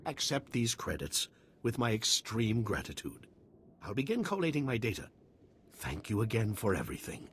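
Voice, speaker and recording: A man's voice: fairly low pitch, big pitch swings, normal speed, slight background noise. A man voicing a grateful, formal scientist in a video game, with effusive, elaborately polite phrasing. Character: Republic doctor, a grateful, formal male scientist.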